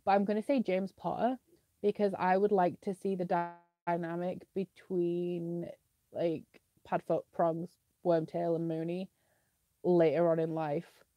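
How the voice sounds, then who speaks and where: A woman's voice: lowish voice, level tone, unhurried, no echo, dull audio. A young woman with a British accent, sniffly with a slight cough, chatting casually as she answers viewer questions in a live stream, with lots of "like" fillers. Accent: British English.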